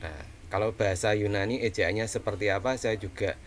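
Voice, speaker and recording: A man's voice: fairly low pitch, a little fast, a little hiss or hum. A man with a Javanese accent teaching an online lecture in a conversational way, asking rhetorical questions and answering them himself, with frequent fillers and tag questions. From Central Java, Indonesia.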